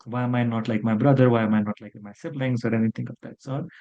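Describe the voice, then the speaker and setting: A man's voice: mid-range voice, steady tone, fast talker, dull audio. A man hosting a podcast, framing his questions carefully and stumbling over words along the way. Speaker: podcast host. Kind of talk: interview questions.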